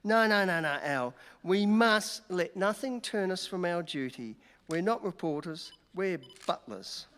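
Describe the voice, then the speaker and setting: Comic radio-play reporter played by a man, sardonic and boozy, unhurried, pompous delivery, stumbling words, reverberant hall recording. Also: sits high, expressive, fairly bright tone, clean tone. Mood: pompous, insistent. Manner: mock-grand, theatrical. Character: tipsy, sardonic male newspaper reporter, mock-dutiful.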